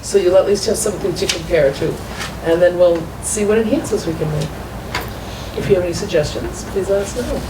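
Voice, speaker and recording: Low-voiced woman at a meeting, explaining paperwork patiently; distant, roomy and noisy recording. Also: brisk. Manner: explanatory, walking through documents. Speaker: school business manager. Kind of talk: meeting report. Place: school committee meeting room. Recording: echoey far-off room mic with noise.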